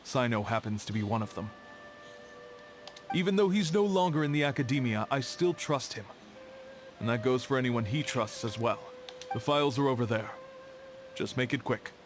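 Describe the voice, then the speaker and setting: A man playing a serious, guarded scholar in a video game, speaking in a measured, formal way. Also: medium pitch, faint music behind, close to an everyday voice. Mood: guarded, stern. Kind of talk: video game character acting.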